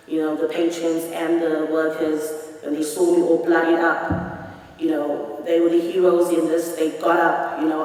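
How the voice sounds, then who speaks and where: A woman's voice: deep voice, darkish tone. A woman in her forties speaks earnestly and emphatically, telling her own story to a meeting. Her voice rings around a large, echoing hall. She sounds set back from the microphone. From South Africa. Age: 40s. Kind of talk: personal testimony. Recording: echoey hall, voice from far off.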